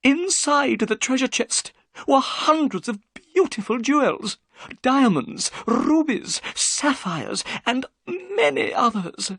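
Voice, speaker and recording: A narrator voicing a nervous, talkative gentleman who chatters eagerly in a raised, fussy voice. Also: mid-range voice, sing-song, normal speed, no room sound, pitched above the performer's own voice.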